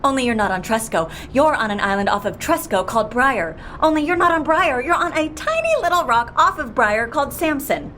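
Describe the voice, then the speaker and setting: A woman playing a brisk, talkative, blunt pilot and death-faking agent in an audio drama, with a wry, animated manner. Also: sits high, slight background noise. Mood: chatty, teasing. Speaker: actor in an audio fiction series.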